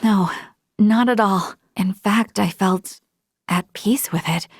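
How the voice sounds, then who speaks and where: Earnest, measured young female officer. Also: mid-range voice, no room sound, clean studio sound. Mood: reflective, sincere. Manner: measured, formal, thoughtful delivery. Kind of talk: scripted video game voice acting.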